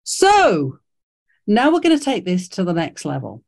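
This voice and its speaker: A woman's voice: mid-range voice, wildly swooping pitch, a little slow, vocal fry, darkish tone. A woman hosting an online careers workshop over a video call, encouraging and full of fillers and restarts. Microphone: video call mic.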